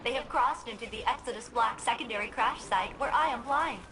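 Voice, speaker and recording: A woman's voice: on the high side, a little fast, sharp tone, faint music behind. An actress playing a grave woman guide in a sci-fi shooter game, asking for help. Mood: grave, urgent. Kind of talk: video game voice acting.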